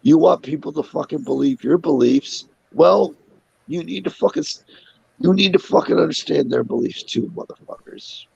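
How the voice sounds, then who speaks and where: A man with a raspy, sick-sounding voice vents in a heated, profane rant. The voice is deeply gravelly and breathy. The sound is dry and muffled, with a lo-fi feel. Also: huge pitch swings, moderate pace, darkish tone, no echo. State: sick, hoarse. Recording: dull dry lo-fi audio.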